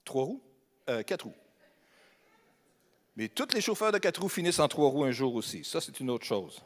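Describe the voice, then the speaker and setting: A man's voice: mid-range voice, very animated, normal speed. A man with a Québécois accent preaching a sermon in a church, conversational and humorous, asking the congregation questions. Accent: Québécois French. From Quebec, Beauce region. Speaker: pastor. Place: church hall. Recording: long hall reverb.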